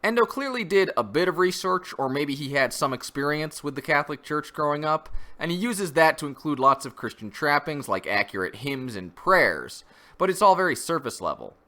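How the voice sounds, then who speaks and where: A man's voice: a little high, sing-song, normal speed, pushed and bright. A man giving an opinionated, conversational review commentary, critical and semi-scripted. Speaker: host of a comic review channel.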